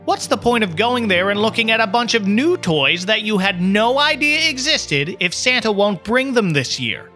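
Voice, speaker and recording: Guy doing a frustrated lady teacher from a storybook, high bright voice, firm with the kids. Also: big pitch swings, normal speed, hardly any pauses, soft background music, full character voice. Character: weary, sympathetic but frustrated woman schoolteacher. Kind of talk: storybook narration character voice.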